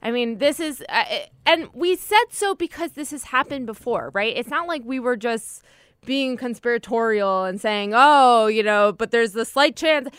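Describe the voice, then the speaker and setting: Smooth-voiced woman co-host, earnest. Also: a little high, animated, moderate pace, fairly bright tone, dry recording, studio quality. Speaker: podcast co-host. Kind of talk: casual political chat.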